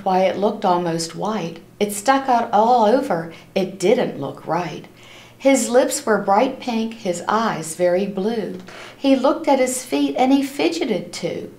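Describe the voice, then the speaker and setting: A woman reading a picture book aloud to children in an expressive, animated storytelling style, stopping to address her listeners. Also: medium pitch. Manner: animated, acts out characters' lines, pauses to ask listeners questions. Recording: roomy, echoing room sound.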